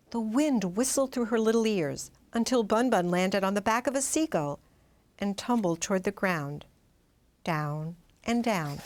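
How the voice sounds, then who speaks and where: Woman, children's storybook narrator, very animated intonation, medium pitch, dramatic, expressive. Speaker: storybook reader.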